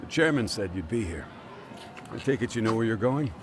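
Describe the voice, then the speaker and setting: A man's voice: fairly low pitch, expressive, brisk, noisy background. A man playing a courteous school staff member in a video game dub. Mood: polite.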